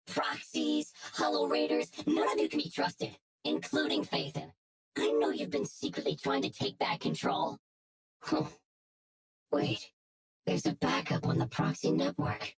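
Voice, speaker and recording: Game villain: smug blackmailing female hacker, low and creaky, commanding and taunting. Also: very animated, a little slow, a crowd in the background, exaggerated voice. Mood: angry, demanding. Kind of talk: English video game voice acting.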